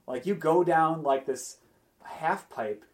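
A man's voice: on the high side, takes it slowly. A man in a casual podcast chat about games, explaining things in a hesitant, rambling way full of filler words. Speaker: podcast panelist discussing video games.

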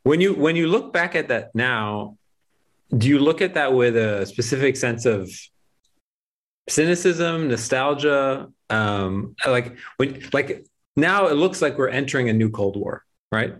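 A man interviewing his guest in a casual, conversational way. His questions wander and circle back on themselves, and they are padded with fillers. His pitch sits in the middle range. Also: expressive, a little slow. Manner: loose, searching questions full of fillers. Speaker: interviewer, host.

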